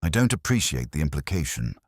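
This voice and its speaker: A man's voice: very deep voice, sing-song, a little fast, no echo, studio quality, exaggerated voice. A man voicing a defensive, haughty suburban leading lady in a mystery story, prim and clipped. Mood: indignant.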